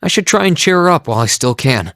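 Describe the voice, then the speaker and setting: An actor playing an earnest, kind teenage hero in an anime game dub, sincere and considerate in manner. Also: male voice, medium pitch, sing-song, fast talker, a bit bright, no echo, studio quality. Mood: thoughtful.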